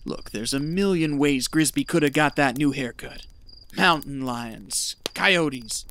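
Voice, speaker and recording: A man's voice: a little high, slow pace, fairly bright tone. A man playing a stubborn, gold-hungry desert prospector with a folksy way of talking in an audio drama. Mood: dismissive, insistent. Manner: folksy, muttering to himself when alone.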